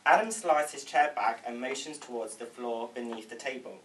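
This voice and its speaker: A man's voice: mid-range voice, moderate pace, fairly bright tone, distant mic. A man reading screenplay stage directions aloud at a table read. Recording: noisy room, away from the mic.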